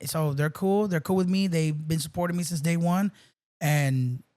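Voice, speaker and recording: A man's voice: on the high side, steady tone, moderate pace, darkish tone. A man from South Texas chatting casually as a podcast guest, joking and laid-back, dropping Spanish words.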